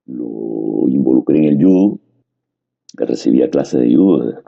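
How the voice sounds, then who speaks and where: A middle-aged man with a Cuban Spanish accent and a very low, husky voice with a gravelly edge. He talks in an unhurried, reflective monologue, often pausing to hesitate. The recording sounds dull and lo-fi. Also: animated. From Cuba. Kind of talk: personal monologue.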